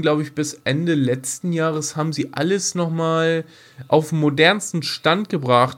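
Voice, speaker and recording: Casual male podcaster, slightly high voice. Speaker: podcast co-host.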